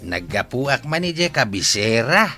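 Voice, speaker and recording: A man's voice: mid-range voice, sing-song, unhurried, over music. A man with a northern Philippine accent playing a polite, concerned elderly grandfather in a village radio drama. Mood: matter-of-fact, amiable.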